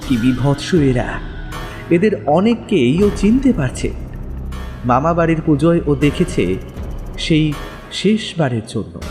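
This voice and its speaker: A man narrating a scripted horror audio story in a dramatic storytelling style. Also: on the high side. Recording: long reverb, music underneath.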